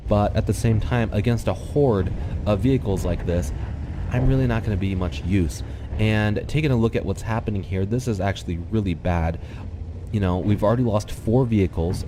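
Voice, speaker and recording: A man with a slightly nasal, congested voice calmly explaining a game replay to his viewers on a desktop microphone, thinking aloud with the odd um. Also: on the low side, slight background noise. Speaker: gaming channel host. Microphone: desktop USB microphone.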